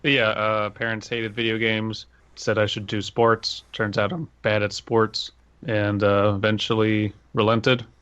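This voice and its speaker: A man's voice: on the low side, level tone, unhurried, fairly bright tone, clear, resonant tone, muffled recording. A man co-hosting a podcast in casual chat, playing along with absurd jokes. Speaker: podcast co-host.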